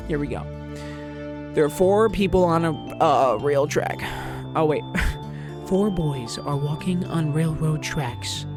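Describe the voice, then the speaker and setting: A woman streamer chatting casually and reading a manga aloud, jokey, laughing often and interrupting herself. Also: low voice. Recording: background music under voice.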